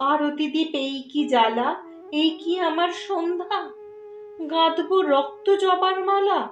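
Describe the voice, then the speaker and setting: A woman speaking as a poetry reciter, with a solemn, measured and expressive dramatic delivery. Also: sits high. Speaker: poetry reciter and channel host. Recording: echoey voice over faint music.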